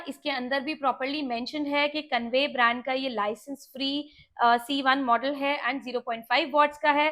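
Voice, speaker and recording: Indian woman, notably high voice, casual gadget reviewer walking viewers through features with English phrases mixed in. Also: fairly bright tone. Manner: mixes Hindi with English words and phrases. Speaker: product reviewer.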